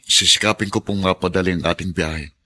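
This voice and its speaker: Deep husky taxi driver. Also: male voice, steady tone, a little fast, bright, forceful tone. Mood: polite. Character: worried, polite male taxi driver, devoted father. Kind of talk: radio drama acting.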